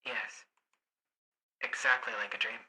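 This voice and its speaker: A performer voicing a detached inner voice, cold, calm and insistent, in a visual novel. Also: medium pitch, measured pace, breathy edge, exaggerated voice. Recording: music behind the voice.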